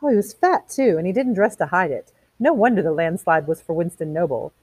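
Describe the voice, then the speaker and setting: A woman's voice: fairly low pitch, expressive, a little fast, a little mellow, breathy edge, no echo. A woman voicing a shallow, prim society lady from a novel, chattering in an affected, gossipy way. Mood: disdainful gossip.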